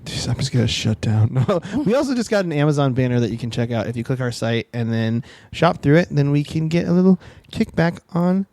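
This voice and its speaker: A man's voice: mid-range voice, very animated, a little fast, few pauses, a little mellow, dry recording. A man co-hosting a comedy podcast in casual, joking chat with a goofy manner.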